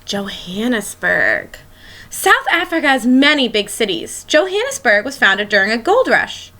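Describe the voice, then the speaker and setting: A woman's voice: a little high, very animated, unhurried, sharp tone, a little hiss or hum. A woman schoolteacher reading a children's picture book aloud, expressively and as if speaking directly to young listeners.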